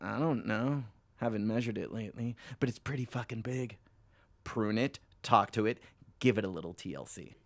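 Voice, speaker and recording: A man's voice: on the low side, moderate pace, creaky, no echo. Rambling boozy salesman, dry close sound. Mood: pleading, evasive. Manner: rambling, sweary, hedging. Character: desperate hard-drinking philandering phone salesman, evasive and needy. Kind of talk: audio drama dialogue.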